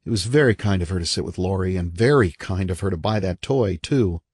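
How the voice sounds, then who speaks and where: A man's voice: low voice, fairly quick, a little mellow, breathy edge, no echo, clean studio sound. A man voicing an apologetic, bewildered husband in an audiobook, earnest and uneasy in manner.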